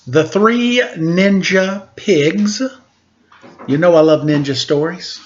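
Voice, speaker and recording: A man's voice: high voice, slow pace, slight background noise. A middle-aged man chatting casually and reading stories aloud to children in a playful, jokey manner. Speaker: storytime host reading picture books. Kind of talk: casual chat and read-aloud storytelling to children. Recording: dull, lo-fi sound.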